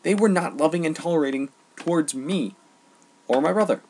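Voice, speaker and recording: A man delivering an informal opinion rant, emphatic and halting, often repeating phrases for stress. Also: a little high, a little mellow. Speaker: online ranter.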